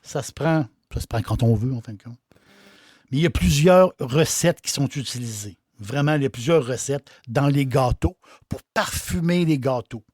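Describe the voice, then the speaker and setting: A man's voice: mid-range voice, moderate pace, darkish tone, slightly rough, no echo, clean studio sound. A man with a Québécois French accent, an innkeeper hosting a casual, folksy talk who often repeats his words. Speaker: innkeeper host. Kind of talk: casual talk about a liqueur.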